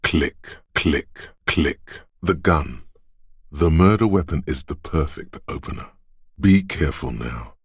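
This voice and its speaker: An actor voicing a solemn inner voice of instinct and marksmanship in a video game, with measured, deliberate, dramatic narration and a husky, gravelly tone. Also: male voice, a bass voice, expressive, no echo, studio quality, full character voice. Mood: cautious, deliberate.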